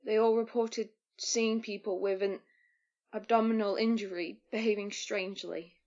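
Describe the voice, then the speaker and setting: An actress playing a shaken but firm medical worker burdened by guilt, in a naturalistic audio drama. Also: female voice, medium pitch, steady tone, normal speed, a little mellow, dull audio, close to an everyday voice. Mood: subdued, guarded.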